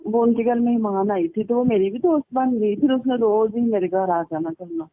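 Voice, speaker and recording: A woman's voice: fairly high pitch, normal speed. Woman, casual storyteller on a recorded phone call; tinny, narrow sound, dry with no room echo. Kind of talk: casual phone chat, recounting personal stories. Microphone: telephone line.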